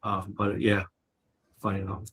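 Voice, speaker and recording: A man's voice: lowish voice, completely flat delivery, a little slow, fairly bright tone, breathy edge. A man from Chicago co-hosting a casual live chat, telling personal stories with frequent laughs. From Chicago, USA. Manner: storytelling, chatty, laughs often. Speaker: co-host.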